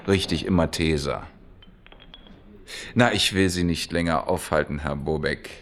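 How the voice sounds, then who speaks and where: An actor playing an outwardly affable, quietly persistent man in a radio play, speaking politely and deliberately, with insinuation. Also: a bass voice, heavily acted. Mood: pointed, casual. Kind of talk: radio drama dialogue acting.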